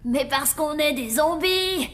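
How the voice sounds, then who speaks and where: A woman's voice: sits high, takes it slowly. A woman voicing a mischievous young zombie boy who teases and boasts, in a cartoon. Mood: friendly. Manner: teasing, boastful. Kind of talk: cartoon voice acting. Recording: cartoon soundtrack with loud music.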